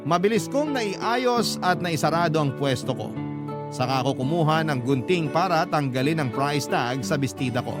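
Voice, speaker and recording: A man's voice: fairly high pitch, animated, moderate pace. A man narrating a dramatic first-person love story in Tagalog as a radio storyteller. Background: guitar music.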